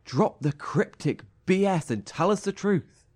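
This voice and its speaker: A man's voice: high voice, lively intonation, unhurried, a little mellow. A man playing a fiery, fed-up survivor in a horror audio drama, blunt, confrontational and sarcastic. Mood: exasperated.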